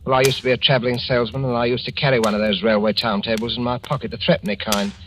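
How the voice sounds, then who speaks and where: On a noisy, crackly 1940s radio recording, an actor plays a timid little English clerk. He mutters meekly and apologizes often, hesitant and forgetful, with something faintly sinister underneath his mid-pitched voice. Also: racing, a bit bright, lightly acted. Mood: bitter, nervous. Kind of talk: 1940s radio drama acting.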